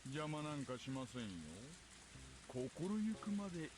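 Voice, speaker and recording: An actor playing a menacing middle-aged police detective in a visual novel, speaking with taunting, mock politeness. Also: male voice, on the high side, expressive, a little fast, background noise, lightly acted. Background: rain falling.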